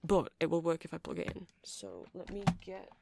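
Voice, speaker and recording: A performer playing a friendly, nerdy vampire music shop keeper in an ASMR roleplay, rambling softly and apologetically to a customer with hesitant, casual speech. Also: medium pitch, sing-song, moderate pace, gravelly, very noisy. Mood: nerdy, explaining. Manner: soft, rambling, hesitant, self-deprecating. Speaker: store clerk.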